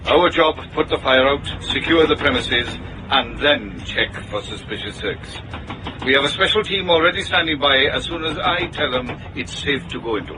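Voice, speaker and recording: An actor with a British accent playing a blunt, world-weary fire officer in a radio drama. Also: male voice, mid-range voice, expressive, normal speed, noisy background, natural voice. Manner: blunt, matter-of-fact.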